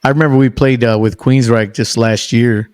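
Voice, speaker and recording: A man's voice: medium pitch, fairly even intonation, normal speed, a little mellow, clean tone, no echo. A man in his sixties hosting a podcast, chatting with a guest in a chummy, eager way. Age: 60s. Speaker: podcast host. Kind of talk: casual interview chat.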